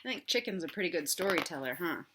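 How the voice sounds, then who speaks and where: A woman reading a storybook aloud for children with expressive narration and chatty asides to her young listeners. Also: fairly low pitch, fast talker, noisy background. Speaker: read-aloud host for children.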